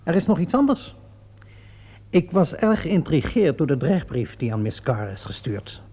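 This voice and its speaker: Relentless police inspector, radio drama, mellow lively voice, deliberate insinuating questioning, muffled vintage recording. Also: male voice, a little high, breathy edge, heavily acted. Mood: insinuating, confident. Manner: insinuating, theatrically deliberate, mock-polite questioning. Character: shrewd, relentless male police inspector cornering a suspect. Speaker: actor playing a police inspector. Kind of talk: radio play acting.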